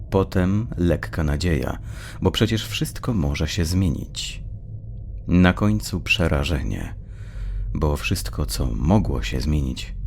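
A man reads dark fiction as an audiobook narrator, in a measured, literary storytelling style. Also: extremely low pitch, gravelly, slight background noise.

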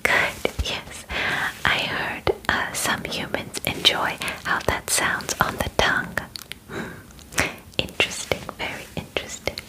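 A young woman plays a calm alien examiner in an ASMR roleplay. The voice is whispered and very slow, close on the microphone. The manner is formal and methodical, gently reassuring the human under inspection. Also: natural voice, poor audio. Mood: calm, clinical. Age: 20s. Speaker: ASMR performer. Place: bedroom studio, indoors.

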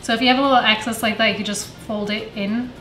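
A woman calmly explaining a dessert recipe step by step in a casual home cooking video. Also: a little high, fast talker. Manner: calm step-by-step explaining with fillers. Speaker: home cook guest. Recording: echoey room, faint music.

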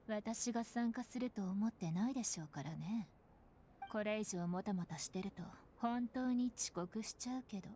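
Cool, high-voiced sardonic nun. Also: female voice, warm tone, slight background noise. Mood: dry, cool. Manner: cool, curt, dryly cutting. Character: cool, sardonic young nun, aloof and suspicious. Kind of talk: anime game voice acting. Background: game background music.

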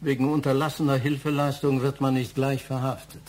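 A man's voice: medium pitch, level tone, fairly quick, a little mellow, lightly acted. An actor playing a stern, reproachful older father of the family in a German radio crime drama, over some hum.

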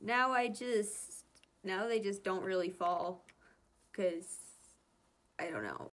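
A woman's voice: medium pitch, slow, fairly bright tone. A woman chatting casually as she reacts to fan comics, rambling and gushing with a giggly manner. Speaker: host reading fan comic dubs and commenting.

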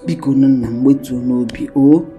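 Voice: medium pitch, steady tone, moderate pace, warm tone, soft background music, natural voice. An actor playing an in-law who pleads for forgiveness. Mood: pleading.

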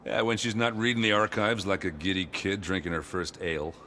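Very deep-voiced, good-hearted soldier man. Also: little pitch movement, brisk, pushed and bright, a little hiss or hum. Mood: jovial. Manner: hesitant, joking, blokey and sincere. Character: gruff, good-hearted, self-deprecating soldier man fond of ale. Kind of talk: video game dialogue acting. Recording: prominent music behind the voice.

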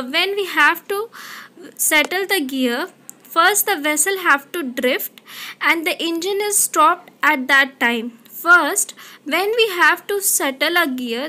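A woman teaching an online class over slides, explaining step by step with halting restarts. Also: high-pitched, sharp tone. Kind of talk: slide-based lecture.

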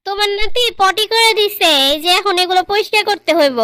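A woman's voice: very high-pitched, brisk, sharp tone, no room sound, clean studio sound. A woman voicing a pampered young married daughter in a comedy cartoon, girlish and coaxing, with a Bangladeshi accent. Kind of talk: comedy cartoon dialogue in colloquial dialect.

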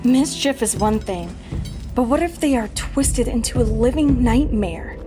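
A woman's voice: fairly high pitch, expressive, measured pace, over music. An actress playing a young, thoughtful female fire mage in a fantasy audio drama, earnest and hesitant. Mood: grave, wondering.

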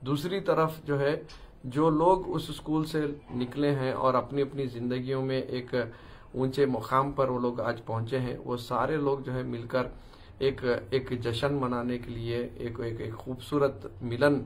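A man in his forties with a Deccani Urdu accent, giving a halting public message mixed with English phrases. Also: mid-range voice, steady tone. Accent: Deccani Urdu of southern Andhra Pradesh. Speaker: local politician. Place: small crowded office room indoors. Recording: room noise in background.

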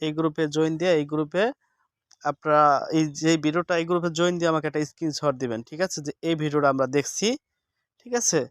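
A man talks through a casual how-to in a fairly high, very smooth voice. He is instructive and often repeats each step. The sound is dry and close, with little room echo. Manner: instructive, repeats steps and seeks confirmation. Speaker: tech tips host. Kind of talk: casual screen-recording tutorial.

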